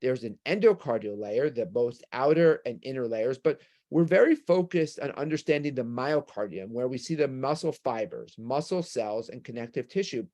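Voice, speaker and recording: A man's voice: mid-range voice, animated, moderate pace, few pauses, dark tone. A man with a New York accent giving a medical lecture with slides, explaining things step by step and posing rhetorical questions.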